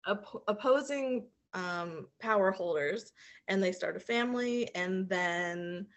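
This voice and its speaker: Mid-pitched woman in casual podcast chat. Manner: informal, halting while searching for words. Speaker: podcast co-host. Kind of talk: casual chat, giving movie reviews.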